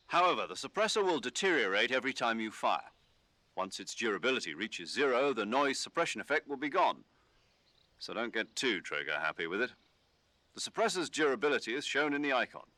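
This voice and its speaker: A man voicing a calm commanding officer who gives instructions over the radio in a game. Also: medium pitch, no echo. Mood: steady. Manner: measured, instructive briefing tone. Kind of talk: game dialogue.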